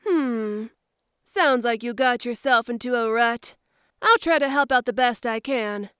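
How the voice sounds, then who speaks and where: A woman voicing a sultry, self-assured bat treasure hunter in a fan audio drama, teasing and smooth. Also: a little high, measured pace, fairly bright tone, no room sound, studio quality. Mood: relaxed, flirty.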